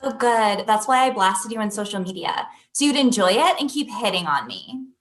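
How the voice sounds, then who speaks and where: A woman's voice: mid-range voice, lively intonation, moderate pace, lightly acted. A woman playing a sarcastic, dismissive bar patron in a comedy table read, curt in manner.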